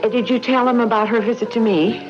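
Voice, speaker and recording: A woman's voice: fairly high pitch, steady tone, fast, over music, close to an everyday voice. An actress in an old-time radio drama playing a determined woman investigating her friend's murder. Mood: probing, insistent. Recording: muffled lo-fi radio broadcast.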